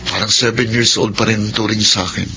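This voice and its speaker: A man in his twenties playing a neglected, earnest son, polite and quietly resentful, in a Filipino radio drama. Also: fairly low pitch, flat delivery, brisk, creaky, dull audio, a little hiss or hum. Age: 20s. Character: neglected, earnest twenty-year-old son of wealthy father.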